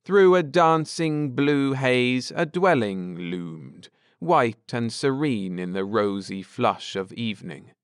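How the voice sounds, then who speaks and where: A man narrates a bedtime audiobook at an unhurried pace. His voice is smooth and resonant, with lively intonation, recorded close and dry in a measured storyteller's delivery. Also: medium pitch, no echo. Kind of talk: scripted bedtime story narration, read aloud.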